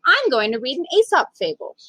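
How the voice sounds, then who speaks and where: A woman's voice: high voice, sing-song, a little fast, sharp tone, breathy edge, no room sound, full character voice. A woman voicing a kind, encouraging schoolteacher in a storybook.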